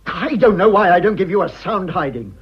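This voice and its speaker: Fussy, husky upper-class English husband. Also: male voice, high-pitched, lively intonation, fairly quick, highs cut off, full character voice. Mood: scornful, petulant. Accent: British upper-class RP. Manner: fussy, spluttering, condescending. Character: prim, petulant upper-class husband, politician with taste for decoration. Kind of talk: period radio drama acting.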